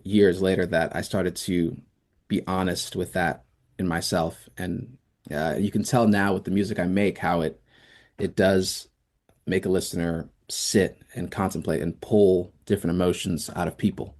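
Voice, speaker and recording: A man with a deep, low voice, raised in the American South, talks as a guest in a radio studio. He reflects slowly and keeps pausing to find the right words. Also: a little mellow. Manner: thoughtful, searching for words with many fillers. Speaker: musician guest.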